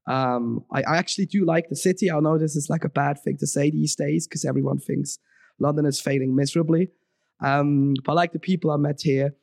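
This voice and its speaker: Young Swiss guy, podcast guest, fry in the voice, loose rambling talk, dead dry mic. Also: a little high, fairly even intonation, fairly quick, darkish tone, no echo. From Switzerland. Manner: rambling, many ums and restarts. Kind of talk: casual interview talk.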